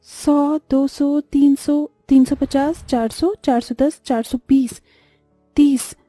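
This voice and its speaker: A woman voicing a poor, dutiful and caring young elder daughter in a cartoon, earnest and gentle. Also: sits high, steady tone, slow, dry recording, faint music behind. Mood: worried. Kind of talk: Hindi moral-story cartoon voice acting.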